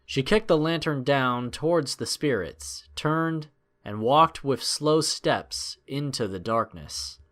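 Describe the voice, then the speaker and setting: A man narrating a scripted adventure story in a measured, expressive, dramatic storytelling style. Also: mid-range voice.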